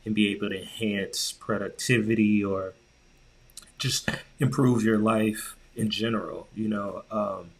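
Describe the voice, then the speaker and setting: A man's voice: on the low side, steady tone, unhurried, rough recording. A man co-hosting a podcast, chatting informally and slangily in a casual conversational style. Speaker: podcast co-host. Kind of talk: casual conversational tech chat.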